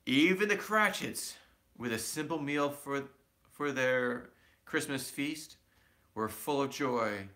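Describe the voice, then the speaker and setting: Male parent reading to children: expressive, measured, forceful clear-edged tone, explains things simply along the way. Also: medium pitch. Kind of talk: animated storybook reading with asides to children.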